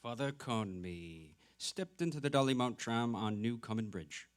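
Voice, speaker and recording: A man's voice: mid-range voice, lively intonation, moderate pace. A man narrating literary fiction in a dramatic reading. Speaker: actor reading aloud.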